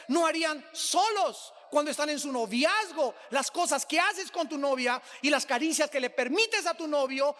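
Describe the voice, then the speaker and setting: Male preacher, Mexican accent, bright forceful tone, driving points home through repetition, long hall reverb. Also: extremely high pitch. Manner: emphatic, repeats phrases for effect. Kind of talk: sermon.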